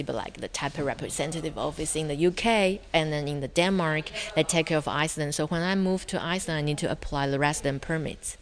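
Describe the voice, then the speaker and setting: Low-pitched woman with a Taiwanese accent. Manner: halting, searching for words. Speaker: interview guest, film director. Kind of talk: conversational interview answers.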